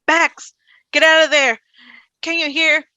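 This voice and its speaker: A woman's voice: high voice, little pitch movement, a little slow, bright, forceful tone, dry recording, lo-fi sound. A woman playing an anxious, caring teenage boy desperate for his friend, in a fan table read. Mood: alarmed, urgent. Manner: urgent, anxious. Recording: dry video-call audio, music underneath.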